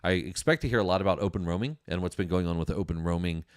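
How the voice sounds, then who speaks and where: Deep-voiced man, casual podcast host. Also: fast talker, smooth, no echo, clean studio sound. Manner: conversational, enthusiastic, repeats phrases for emphasis. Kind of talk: casual industry news talk to an audience.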